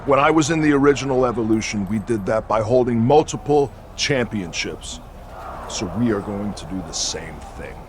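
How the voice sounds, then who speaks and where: An actor playing a stern, commanding veteran wrestler who leads a faction in a video game, talking curtly and with authority. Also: male voice, on the low side, lively intonation, moderate pace, slight background noise, close to an everyday voice. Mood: confident, commanding. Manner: curt and authoritative. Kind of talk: scripted video game cutscene acting.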